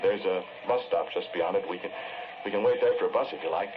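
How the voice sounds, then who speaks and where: An actor playing a sombre, earnest confessed killer in a 1940s radio drama, speaking in a measured, hesitant, brooding way. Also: male voice, low voice, lightly acted. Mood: remorseful, resolute. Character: sombre, earnest man confessing to killing the woman he loved. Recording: noisy, muffled 1940s radio recording.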